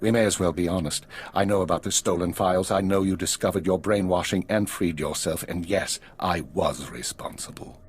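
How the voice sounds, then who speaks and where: An actor playing a grave, calculating intelligence minister in a video game, speaking in a measured, formal, husky way. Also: male voice, sits low, a little hiss or hum, close to an everyday voice. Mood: frank. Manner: measured, formal, coolly pragmatic. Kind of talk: dramatic game dialogue.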